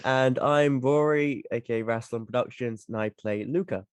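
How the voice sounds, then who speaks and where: A man's voice: medium pitch, normal speed, smooth, no echo. A man with a heavy cold chatting casually as a guest on a podcast. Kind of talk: casual chat.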